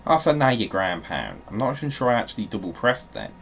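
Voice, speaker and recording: A man's voice: lowish voice, huge pitch swings, fast. A man giving casual video game commentary, swearing and grumbling jokily as he plays. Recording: clearly noisy background.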